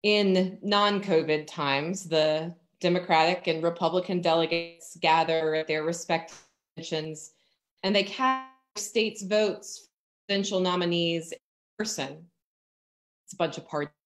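A woman in her thirties with an American accent gives a sermon in measured, deliberate phrases with emphatic pauses, over a video call from a room at home. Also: on the low side, fairly even intonation. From Virginia, United States. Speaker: pastor. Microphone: computer mic on a video call.

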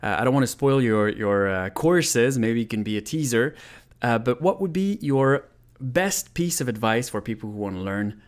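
A man's voice: mid-range voice, lively intonation, normal speed. A man in his thirties from France with a French accent, hosting an interview with hesitant, filler-laden questions, speaking into a desk microphone indoors. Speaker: interview host. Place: indoor room with gaming chair. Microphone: desk microphone in front.

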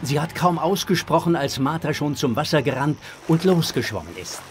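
A man's voice: a little high, animated, moderate pace, soft background music. A man narrating a scripted audio drama for children.

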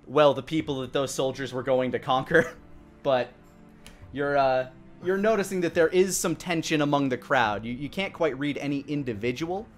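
A man in his thirties improvising tabletop game narration as game master, in a halting, searching way. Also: mid-range voice. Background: faint music.

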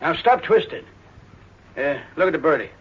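Impatient small-town photographer, crotchety and folksy, husky upper-register voice, 1940s radio drama. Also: male voice, a little high, big pitch swings, moderate pace. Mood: exasperated, grumbling. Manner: grumbling, folksy. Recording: scratchy, muffled 1940s radio recording.

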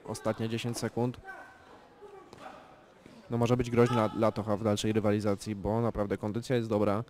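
A man giving calm, measured live play-by-play commentary on an amateur boxing bout, with technical analysis of the punches. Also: lowish voice. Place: boxing event venue. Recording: loud crowd in a venue.

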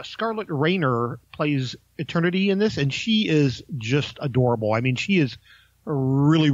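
A man's voice: fairly high pitch, dry recording, lo-fi sound. A man giving a casual, informal film review, talking in a hesitant, rambling way.

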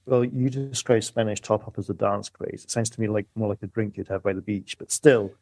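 A man guest on a podcast, calmly explaining music streaming economics and recapping his points step by step. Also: fairly low pitch, brisk, no echo. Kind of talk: podcast discussion.

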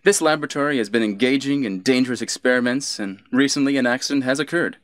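An actor playing a cold, scheming villainous commander in a video game, deliberate, condescending and menacing in stilted cutscene delivery. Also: male voice, mid-range voice, no echo, studio quality, natural voice.

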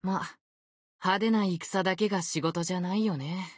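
A man's voice: sits high, steady tone, moderate pace, dry recording, studio quality. A man voicing an easygoing, unfazed ancient sword spirit in youthful male form for a game, with languid, nonchalant phrasing. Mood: airy, nonchalant. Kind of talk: Japanese game character voice acting.

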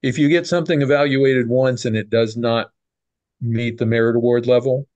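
A man's voice: mid-range voice, fairly even intonation, moderate pace, darkish tone, no echo, lo-fi sound. Middle-aged man on a dry webcam call. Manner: repeats words, restarts, folksy sayings. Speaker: clinic host. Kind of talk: casual video call chat. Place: home room. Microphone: video call webcam.

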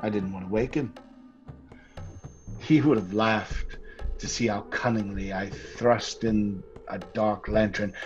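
A man's voice: lowish voice, darkish tone. A man playing a nervous, obsessive murderer confessing in an old-time radio horror style, intense and lingering over words. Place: indoor home room, group video call. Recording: dull sound, music underneath.

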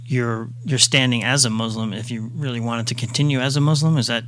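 A man's voice: medium pitch, steady tone, brisk, a bit bright, a little hiss or hum. A man hosting a faith radio show who interviews his guest with short reactions and leading questions.

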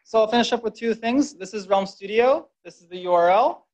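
A man's voice: sits high, brisk, a little mellow, slight background noise. A man wrapping up a tech presentation at a meetup, informal and upbeat with filler words. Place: dark presentation room. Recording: dull lo-fi room recording.

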